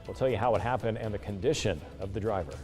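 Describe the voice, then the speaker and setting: A man's voice: lowish voice, quick pace, a little mellow. American male news anchor over music. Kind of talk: broadcast news delivery.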